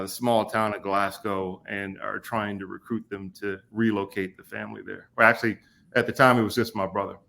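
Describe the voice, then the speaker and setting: A man in his forties from Kentucky, a podcast guest telling stories in a relaxed way, laughing often and pausing with fillers. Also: fairly low pitch, vocal fry. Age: 40s. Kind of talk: casual storytelling conversation.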